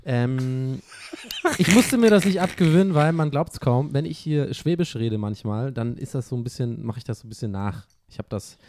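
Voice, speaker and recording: A man's voice: mid-range voice, moderate pace, a little mellow. A man chatting casually in a playful, self-mocking way. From Germany. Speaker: show host answering listener questions. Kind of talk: casual joking group chat.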